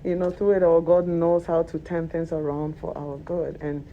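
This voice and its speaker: A woman's voice: on the low side, little pitch movement, normal speed, lo-fi sound, slight background noise. A woman hosting a panel talk show, talking with animation and repeating words for emphasis.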